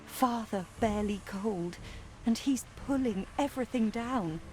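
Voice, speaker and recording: An actress voicing an earnest, grieving younger sister of noble family in a video game, with formal, old-fashioned phrasing. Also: female voice, fairly high pitch, a little slow, lightly acted. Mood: wistful, sorrowful. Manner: formal, archaic phrasing, solemn. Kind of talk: dramatic video game acting. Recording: loud music underneath. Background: game music.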